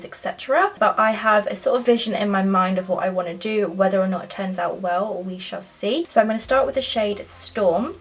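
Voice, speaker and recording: A woman's voice: mid-range voice, recorded from a distance. A young woman chatting casually and enthusiastically through a makeup tutorial, full of "like" and "so". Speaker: beauty vlogger.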